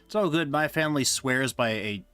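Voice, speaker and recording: A man chatting casually on a live stream, rambling and hesitant with many fillers, his voice going hoarse. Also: mid-range voice, animated, normal speed, fairly bright tone, soft background music. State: losing his voice. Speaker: streamer ranking games he reviewed.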